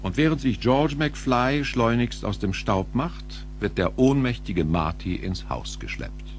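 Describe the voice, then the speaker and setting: A man's voice: mid-range voice, lively intonation, unhurried. A man narrating a scripted audio play in a dramatic storytelling manner.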